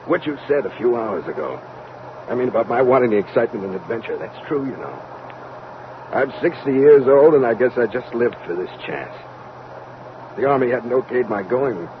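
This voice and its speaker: Actor as breezy older Army major, muffled old radio. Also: male voice, animated, moderate pace, natural voice. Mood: wistful, frank. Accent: American. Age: 60s. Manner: breezy, hearty, plain-spoken. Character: sixty-year-old Army major, cocky optimistic rocket crewman. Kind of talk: vintage American radio drama acting. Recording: muffled vintage radio recording, loud hiss, rumble.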